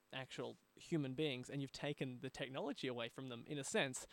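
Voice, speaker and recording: An Australian man hosting a podcast in a conversational interview style. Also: medium pitch. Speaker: podcast host.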